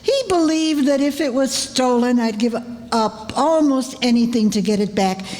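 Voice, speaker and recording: A woman's voice: a little high, moderate pace, darkish tone, close to an everyday voice. A woman playing an eccentric, wealthy older aunt in a radio play, wilful and theatrical, with sly affection. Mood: gleeful, defiant. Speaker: amateur radio drama player. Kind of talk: old-time radio play acting. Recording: reverberant hall, stage performance.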